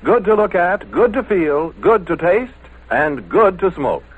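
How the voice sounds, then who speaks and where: A man's voice: high voice, big pitch swings, unhurried, breathy edge. An American man announcing a 1940s radio commercial with emphatic, polished salesmanship. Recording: tinny old radio broadcast.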